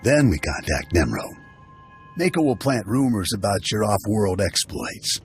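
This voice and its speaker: A low-voiced veteran hunter mentor. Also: male voice, measured pace. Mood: steady. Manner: steady, briefing, matter-of-fact. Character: seasoned male bounty hunter mentor, businesslike. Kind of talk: video game dialogue. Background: faint game music.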